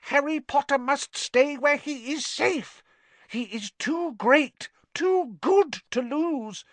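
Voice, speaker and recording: A performer voicing a small male house-elf, servile and anxious, with a squeaky, fretful, reverent way of speaking for a storybook. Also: slow, a bit bright, dry recording. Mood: tearful, pleading. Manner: reverent third-person speech, fretful.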